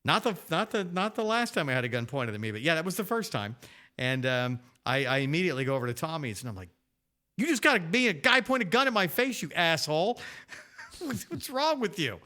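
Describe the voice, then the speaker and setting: A man's voice: on the high side, big pitch swings, fairly quick, pushed and bright. A man in his fifties co-hosting a podcast, telling casual anecdotes with teasing jokes and acted-out dialogue. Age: 50s. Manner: jokey, teasing, acts out quoted dialogue. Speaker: podcast co-host. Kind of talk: casual anecdotal storytelling and banter.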